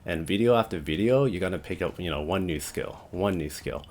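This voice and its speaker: Deep monotone young man vlogging. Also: a little fast, a little hiss or hum. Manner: rambling, heavy use of "like" and "you know". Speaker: YouTube creator. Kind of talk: casual talking-head vlog.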